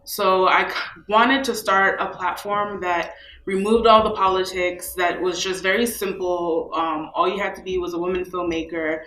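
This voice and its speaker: A woman's voice: fairly low pitch, steady tone, moderate pace, fairly bright tone, echoey room, low-quality recording. A young woman from New York, a film festival co-founder, speaking in a casual interview with many fillers. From New York, USA. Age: young adult.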